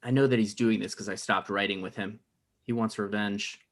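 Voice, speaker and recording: A man's voice: fairly low pitch, fairly even intonation, moderate pace, lightly acted. A man playing a neurotic, self-absorbed playwright, conversational and rambling, in a comedy table read. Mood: bitter, obsessed.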